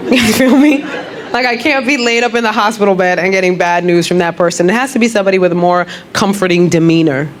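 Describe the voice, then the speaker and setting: A woman doing animated stand-up comedy at a club, often slipping into mimicked voices and laughing along. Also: a little fast. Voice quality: deep voice. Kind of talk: stand-up crowdwork set. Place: comedy club stage with live audience. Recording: loud crowd laughter, club noise.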